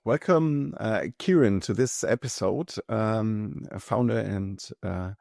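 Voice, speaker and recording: A man hosting a podcast interview, reading a lively intro and asking questions in a softer, hesitant way. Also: on the low side, slow, gravelly, no room sound, studio quality. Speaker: podcast host.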